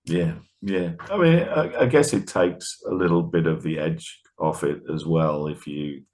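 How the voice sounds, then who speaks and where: A man with a low voice conducts a casual interview. He speaks conversationally, in the relaxed wording of an informal chat between interviewer and guest. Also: measured pace.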